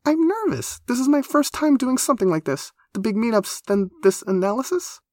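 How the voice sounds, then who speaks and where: Storybook creature girl played by a man: very high, breathless, gushing, easily flustered, close dry sound. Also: lively intonation, brisk, no room sound, natural voice. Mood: relieved, nervous. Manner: gushing, flustered, stammering, emphatic. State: breathless with excitement. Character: excitable, nervous teenage girl creature with barbed-wire hair.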